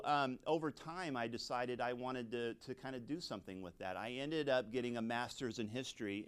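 A man's voice: mid-range voice, smooth. A middle-aged man from suburban America with an American accent, an English teacher telling personal stories in a talk, conversationally and with frequent asides. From suburban United States. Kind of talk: personal storytelling in a talk to an audience.

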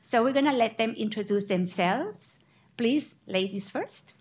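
A woman moderating a college student panel, polite, pausing between her prompts. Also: on the high side, lively intonation. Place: college library.

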